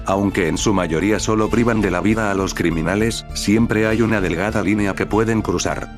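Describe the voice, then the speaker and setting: A man narrating a scripted horror recap over dark music. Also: fairly low pitch. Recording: background music under the narration.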